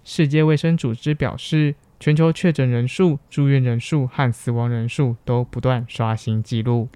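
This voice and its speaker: A man's voice: mid-range voice, steady tone, moderate pace, mellow, clean tone, no room sound. A young adult man with a Taiwanese Mandarin accent reading scripted student campus news.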